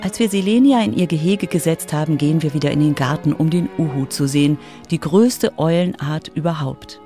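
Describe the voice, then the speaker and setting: A woman's voice: low voice, fairly quick. A woman narrating a scripted children's radio feature as its reporter. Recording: music under the voice.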